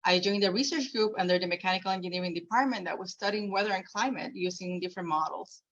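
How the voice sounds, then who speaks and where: Low-voiced woman from Puerto Rico, lo-fi. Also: fast talker, fairly bright tone. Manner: earnest, personal storytelling with fillers. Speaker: keynote speaker. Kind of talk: conference keynote talk. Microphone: video call.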